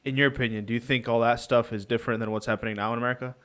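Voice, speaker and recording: A man co-hosting a podcast, asking questions in a halting way, often searching for words. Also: medium pitch, steady tone, fairly bright tone. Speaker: co-host. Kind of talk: casual podcast interview.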